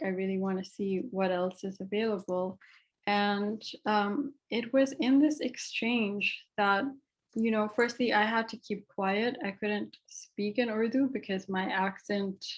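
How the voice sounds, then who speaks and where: A woman presents her art at a panel talk, her voice sitting in an ordinary middle register. She speaks in a reflective, personal way and often pauses on ums and uhs. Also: mid-range voice, poor audio.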